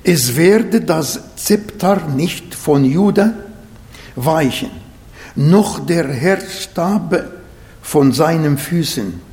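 Male preacher, high voice, german, emphatic scripture reading, grammar slips. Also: animated, extremely slow, darkish tone, long reverb tail. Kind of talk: sermon.

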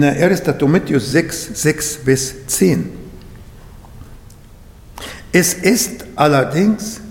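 A man who is not a native German speaker, preaching a sermon in slow, halting German with restarts. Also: a little high, a little mellow. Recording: echoey hall.

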